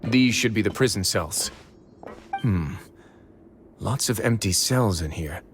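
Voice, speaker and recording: An actor playing a composed, dryly stern male duke in a video game, measured and commanding. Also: fairly low pitch, lively intonation, slight background noise. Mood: calm, focused.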